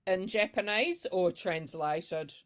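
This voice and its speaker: A woman with a lowish voice hosts an online book club chat, speaking slowly and unhurriedly. She mostly gives quiet acknowledgments and puts short, simple questions to her guest over a video call. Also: animated. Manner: brief soft backchannels, asks short questions. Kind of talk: interviewer on a live video chat.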